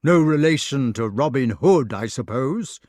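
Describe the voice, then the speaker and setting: A man reading an audiobook, voicing a bluff, polite older knight who speaks briskly and genially. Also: medium pitch, animated, darkish tone, no echo, clean studio sound, close to an everyday voice.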